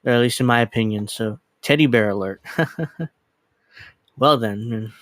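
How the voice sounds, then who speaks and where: Casual streamer, man, late 30s, mid-pitched, rambly and self-correcting, dry close desk mic. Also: measured pace, fairly bright tone, no room sound. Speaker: livestream host. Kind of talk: casual livestream chat. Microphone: desk USB microphone.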